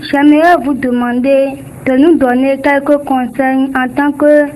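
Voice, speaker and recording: A woman's voice: sits high, fairly even intonation, unhurried, a little mellow, slight background noise, poor audio. A young woman in the audience, politely and a little hesitantly asking a minister for advice. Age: young adult. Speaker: audience member asking a question.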